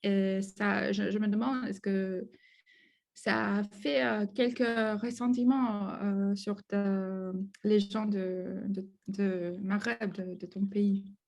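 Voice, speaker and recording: A woman asks her questions slowly and hesitantly, restarting often. Her voice has a gravelly edge, and her pitch sits in a middle range. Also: steady tone, a little mellow. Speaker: interviewer. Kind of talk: student research interview.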